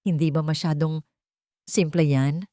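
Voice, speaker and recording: A woman's voice: low voice, steady tone, measured pace, dark tone, no room sound, clean studio sound. Woman voicing a cold, controlling politician husband. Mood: disapproving. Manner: stern, commanding. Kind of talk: audio storybook drama.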